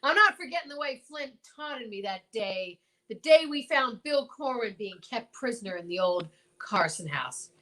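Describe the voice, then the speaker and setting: A woman playing a stubborn, folksy small-town male marshal in an old-time radio drama, blustering and halting. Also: on the high side, lively intonation, pushed and bright. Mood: exasperated.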